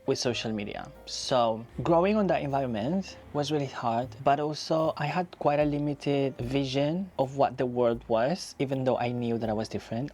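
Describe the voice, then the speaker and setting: Man in his thirties, vlogger, reflective and encouraging, mid-pitched voice, faint music underneath. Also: a little mellow. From Spain. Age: 30s. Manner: chatty, reflective, encouraging, repeats phrases for emphasis. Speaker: YouTuber. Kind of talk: casual vlog talking to camera.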